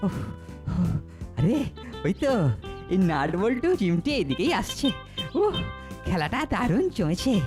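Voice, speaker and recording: A woman's voice: mid-range voice, sing-song, measured pace, long pauses, a little mellow. A woman voicing a cheeky, playful boy prankster in a cartoon, with a teasing, sing-song delivery. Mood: excited. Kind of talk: cartoon voice acting. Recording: music behind the voice.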